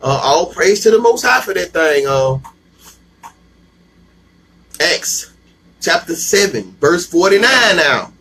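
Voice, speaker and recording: A man's voice: sits high, big pitch swings, slow, long pauses, bright, forceful tone, breathy edge, poor audio. A man teaching scripture in an emphatic call-and-response style, slangy and repeating himself for emphasis. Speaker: bible teacher. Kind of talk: street-level scripture teaching.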